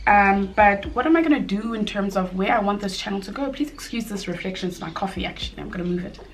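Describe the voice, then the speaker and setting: A woman around thirty with a slightly husky voice, chatting casually at home while doing her makeup, rambling with frequent ums and likes. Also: mid-range voice, animated, fast talker, a little hiss or hum. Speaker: beauty vlogger and mother.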